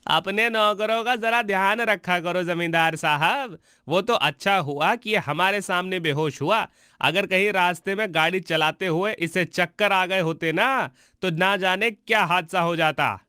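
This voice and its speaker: A man acting a bright-voiced concerned villager. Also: sits high, a little slow, clean tone, no room sound, studio quality. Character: concerned male market bystander, helpful and frank. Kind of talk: Hindi cartoon story voice acting.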